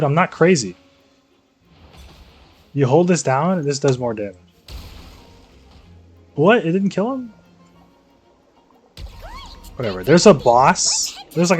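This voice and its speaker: A man giving casual, slangy, sweary live commentary as a game streamer. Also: medium pitch. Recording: game music behind the voice. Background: video game music.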